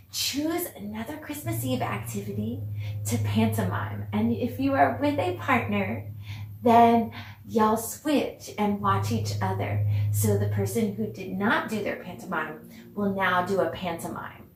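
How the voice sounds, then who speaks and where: A woman teaching a children's dance lesson on video, speaking directly to pupils in an encouraging way, asking questions and giving praise. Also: medium pitch. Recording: roomy echo, distant mic, background noise.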